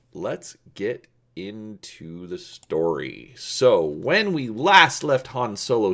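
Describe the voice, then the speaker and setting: A man hosting and dramatically reading an adventure story, deliberate and stretching words for emphasis. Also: fairly low pitch, fairly bright tone. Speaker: video host and storybook narrator.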